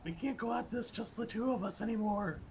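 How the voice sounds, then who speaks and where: A man's voice: high voice, a little fast, gravelly, background noise. A man playing a meek, trusting character in an online roleplay game, earnest and hesitant in delivery. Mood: pleading.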